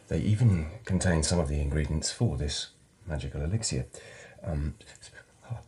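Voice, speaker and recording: Anxious, very deep-voiced lieutenant. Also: male voice, warm tone, a little hiss or hum. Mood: hesitant, preoccupied. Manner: halting, stammering, formal. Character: anxious, deferential Japanese palace lieutenant. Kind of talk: radio drama acting.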